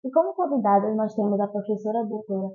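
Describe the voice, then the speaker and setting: A woman's voice: medium pitch, animated, quick pace. A woman hosting a podcast, politely introducing the guest and reading prepared segment introductions. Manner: polite, formal address, reads prepared intros. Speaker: podcast host. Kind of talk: podcast interview. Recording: tinny phone-like line.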